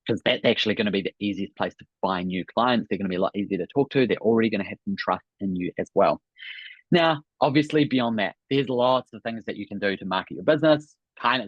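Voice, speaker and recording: A New Zealand man in his thirties talks through a business coaching webinar in an upbeat way, often checking in with "right?". His voice is low and a little husky. The sound is dry and slightly dull. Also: dry recording. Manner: upbeat, repeats "right?" and "really, really".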